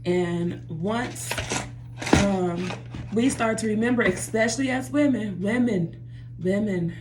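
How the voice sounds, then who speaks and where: A woman's voice: mid-range voice, slow pace, darkish tone, reverberant, slight background noise. A woman talking earnestly to her viewers in a personal vlog from her bathroom, often repeating herself and calling them "y'all". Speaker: vlogger. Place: home bathroom by a running jacuzzi.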